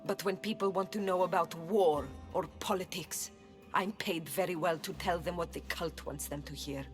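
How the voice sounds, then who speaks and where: A woman's voice: on the low side, fairly even intonation, normal speed, music in the background, close to an everyday voice. A woman playing a proud but frightened oracle priestess in a video game, speaking in a solemn, theatrical, earnest way. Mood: solemn, confiding. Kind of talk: dramatic video game cutscene acting.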